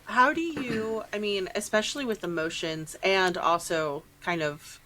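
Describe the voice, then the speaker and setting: A woman's voice: mid-range voice, unhurried, pushed and bright, a little hiss or hum, poor audio. A woman hosting a podcast interview in an informal way, thinking aloud in broken phrases. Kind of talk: casual chat, interviewing.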